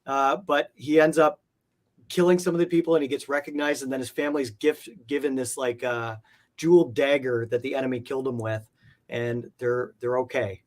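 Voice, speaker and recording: A man's voice: mid-range voice, steady tone, a little fast. A man speaking casually as an interview guest, explaining things in a hesitant, circling way. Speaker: guest, comic art collector.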